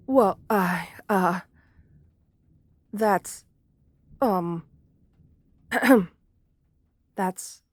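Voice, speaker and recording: A woman's voice: medium pitch, dry recording, clean studio sound. Woman voicing a shy, courtly gargoyle girl. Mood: flustered. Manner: formal, polite, endearing diction with shy hesitations. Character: shy, lonely, romantic gargoyle woman, gentle and bookish. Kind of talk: ASMR fantasy roleplay, intimate one-sided dialogue.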